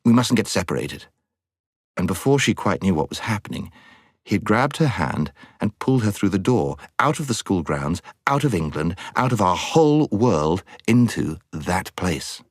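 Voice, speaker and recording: Low voiced guy reading a storybook, really animated and dramatic, measured phrasing. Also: no echo, clean studio sound. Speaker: audiobook narrator. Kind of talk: expressive storybook narration.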